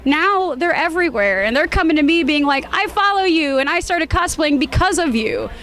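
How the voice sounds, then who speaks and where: A woman giving a casual interview as a cosplayer, with a bubbly, expressive way of talking. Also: sits high, moderate pace. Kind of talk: casual interview chat at a convention.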